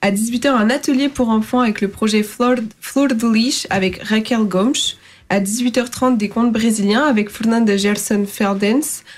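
A woman's voice: on the high side, fairly even intonation, moderate pace. Chatty woman radio host. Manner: chatty, reads a schedule with fillers. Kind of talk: radio event announcements.

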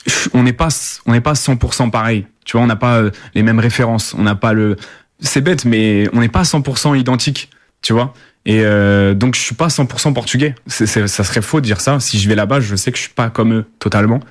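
A man's voice: medium pitch, fairly quick, no room sound, clean studio sound. A young man from the Paris suburbs, answering interview questions casually with many fillers and restarts. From Paris suburbs, France. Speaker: rapper, guest.

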